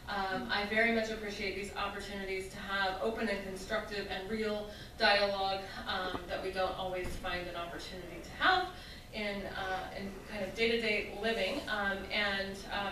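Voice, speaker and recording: A woman's voice: mid-range voice, noisy background. A woman introducing a moderator at a university event, hesitant with frequent ums and uhs. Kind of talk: spoken introduction at a public event. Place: university lecture hall. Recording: echoey hall from far off.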